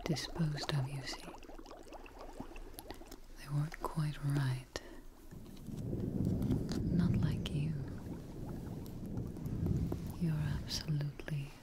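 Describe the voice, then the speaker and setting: A young woman whispering softly and soothingly, close to the mic, in an ASMR roleplay as a calm, eerie scientist. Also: deep voice. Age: young adult. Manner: soft, slow, soothing, hushed close-up whispering. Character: calm, eerie female creature-maker scientist tending her experiment. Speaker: ASMR performer. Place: small dark indoor setup. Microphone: close microphone. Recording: close whisper with clear noise and clicks.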